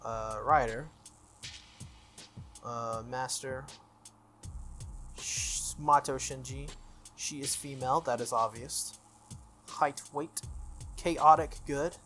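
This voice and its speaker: Playthrough commentator, mid-pitched voice, casual read-aloud, slightly halting, background music. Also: a bit bright. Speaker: video game player reading aloud. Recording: music behind the voice. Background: background game music.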